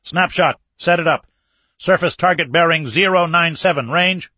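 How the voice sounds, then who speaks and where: Man acting a tense, forceful submarine captain. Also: medium pitch, lively intonation, moderate pace, husky, no room sound. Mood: urgent, commanding. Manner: urgent, clipped military commands. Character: tense commanding submarine captain, male, barking clipped orders. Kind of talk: audiobook character acting.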